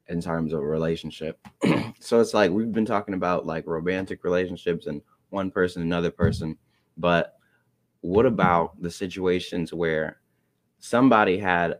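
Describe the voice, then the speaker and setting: A man co-hosting a faith podcast, earnestly working his way toward a question. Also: low voice. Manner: earnest, searching, raising a new point at length. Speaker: podcast co-host. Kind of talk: casual chat.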